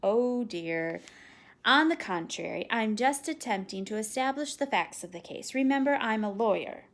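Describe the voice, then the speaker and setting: A woman voicing a calm, patient father who gently teases his daughter with dry jokes. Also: on the high side, normal speed. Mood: gently teasing. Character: calm, teasing father, a lawyer.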